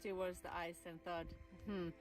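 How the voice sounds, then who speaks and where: Norwegian woman saying hi, low-ish voice, a bit halting, music under it. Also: level tone, poor audio. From Bergen, Norway. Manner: friendly, slightly halting. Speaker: expedition member. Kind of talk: self-introduction to camera. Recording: voice over background music.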